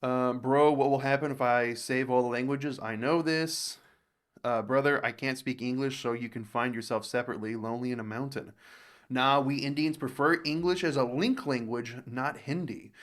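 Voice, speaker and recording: A man's voice: medium pitch, fairly even intonation, normal speed. Rambling American man reading comments aloud. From United States. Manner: rambling, thinks aloud with many restarts. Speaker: reaction video host.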